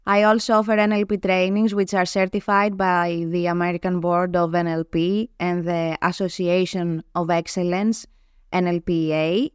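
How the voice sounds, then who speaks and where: A woman with a Greek accent, a native Greek speaker, giving measured, explanatory answers as an interview guest. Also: fairly low pitch, little pitch movement, smooth, no echo, clean studio sound. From Greece. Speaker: guest, NLP trainer. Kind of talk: reading prepared answers in an interview.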